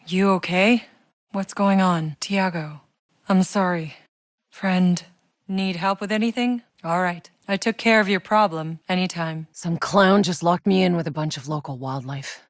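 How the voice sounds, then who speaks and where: Tough female sci-fi game soldier, lower-pitched, wry, blunt, naturalistic, close dry studio sound. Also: measured pace, no echo. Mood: matter-of-fact, frank, then wry, sardonic. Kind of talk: video game voice lines, naturalistic acting.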